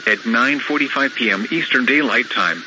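A synthetic male announcer reading a formal, measured severe weather bulletin over the radio. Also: mid-range voice. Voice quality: synthetic text-to-speech voice. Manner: formal, measured, flatly procedural. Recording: noisy weather radio broadcast recording.

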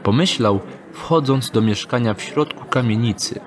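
A man narrating a radio drama in a calm, measured storytelling manner. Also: medium pitch, noisy background. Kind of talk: scripted audio drama narration. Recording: narration over music score.

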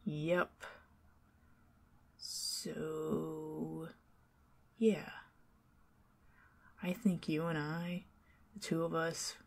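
A young woman with a Southern US accent doing an ASMR roleplay as a chatty neighbor, rambling between soft talk and whispers with nervous giggles. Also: low-pitched, vocal fry. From Southern United States. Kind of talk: ASMR roleplay, talking to the listener as a friendly neighbor.

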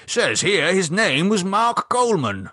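A man voicing a fussy, mildly pompous older gentleman in an audiobook. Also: sits high, sing-song, a little slow, slightly rough, no room sound, clean studio sound, pitched above the performer's own voice, exaggerated voice. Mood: gossiping. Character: fussy older English gentleman, chatty, newspaper reader. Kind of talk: audiobook character voice.

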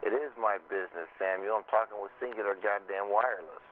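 A man making a prank phone call in casual, halting speech, swearing at times and acting innocent. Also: lowish voice. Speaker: prank caller. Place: telephone conference bridge. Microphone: telephone. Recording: narrow noisy phone conference line.

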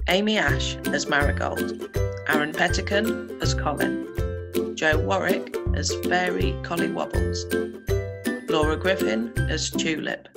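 A woman's voice: low-pitched, creaky. A woman reading scripted credits as an announcer. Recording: voice over loud music. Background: loud children's-style music.

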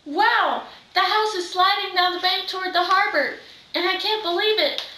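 A bossy, easily amazed storybook sidekick to a witch, played by a teenage girl. The voice is very high and bright, exclaiming from a little distance in an echoey living room. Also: normal speed, a little hiss or hum. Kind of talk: readers' theater script reading. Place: living room, far camera microphone. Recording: roomy, distant microphone.

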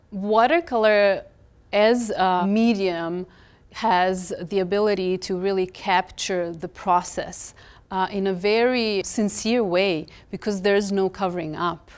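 A woman in her thirties from Croatia, speaking English with a Croatian accent. She is a visual artist in an interview in a gallery, talking thoughtfully with many fillers and gentle pauses. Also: mid-range voice, smooth. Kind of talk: reflective interview talk about her art. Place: gallery, indoors, in front of artworks.

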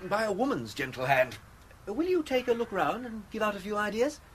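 An actor playing a suave, whimsical English gentleman in a radio play, with an upper-class English accent and languid, mock-grand eloquence. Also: high-pitched, very animated, a little fast, slight background noise. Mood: playful, wooing. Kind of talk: 1980s radio drama acting.

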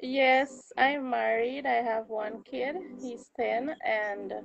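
Lady on a call, high voice, really slow, short hesitant answers, muffled lofi sound. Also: fairly even intonation, creaky. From Guyana. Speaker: guest caller. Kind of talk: casual chat in short answers. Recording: dull lo-fi call, music behind. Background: music.

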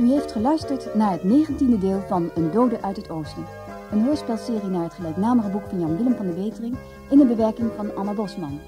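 A woman's voice: medium pitch, expressive, brisk. A woman announcer reading scripted radio credits. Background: music.

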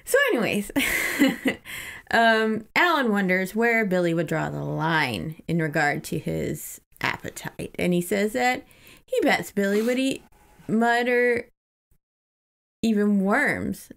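A woman with an average-pitched voice and a noticeable creaky fry. She chats in a casual, conversational way, as a co-host discussing a book on a podcast. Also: slow, a bit bright.

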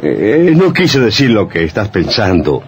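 An actor playing a young, evasive husband who placates and pleads in melodramatic radio horror drama style. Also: male voice, on the low side, wildly swooping pitch, measured pace, full character voice. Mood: nervous, pleading. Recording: noisy old radio drama recording.

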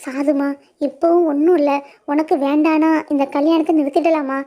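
A woman's voice: sits high, fairly even intonation, normal speed, mellow, natural voice. Woman voicing an upset protective mother. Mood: pleading. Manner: upset, pleading. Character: anxious middle-aged mother, protective and upset.

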